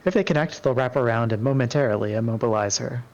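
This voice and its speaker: A man's voice: medium pitch, fast talker, a little mellow. A man reading scripted fantasy narration as a player in a group story. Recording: dull, lo-fi microphone sound.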